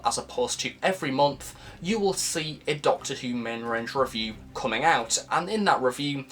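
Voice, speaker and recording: A young man giving a scripted, narrated review of audio dramas in measured, formal phrasing with frequent emphasis. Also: on the high side, a bit bright.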